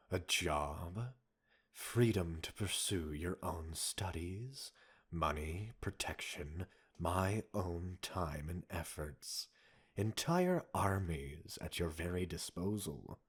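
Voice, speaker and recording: A man playing a cold, condescending villain scientist in an audio roleplay, a possessive captor speaking in a measured, mocking, formal way. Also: sits low, slightly rough, exaggerated voice. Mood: possessive, aggrieved. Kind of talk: dramatic audio roleplay acting addressed to listener.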